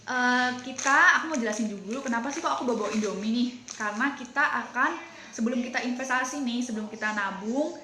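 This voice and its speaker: A woman's voice: fairly high pitch, quick pace, sharp tone, a little hiss or hum. An Indonesian-accented woman presenting as a team leader, explaining casually and chattily while often checking in with listeners.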